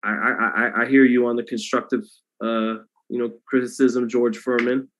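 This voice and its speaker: Male boxing host on a livestream, mid-pitched, monotone, rambling and casual with the chat. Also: moderate pace. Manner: monotone delivery, self-described; loose and rambling, many restarts.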